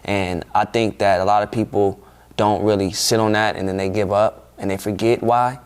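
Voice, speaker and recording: A man's voice: lowish voice, flat delivery, moderate pace, smooth. A man in his twenties, a guest in a casual interview, speaking earnestly and reflectively with frequent "you know" and "man". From Ohio, USA. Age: 20s. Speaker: guest, urban farm founder.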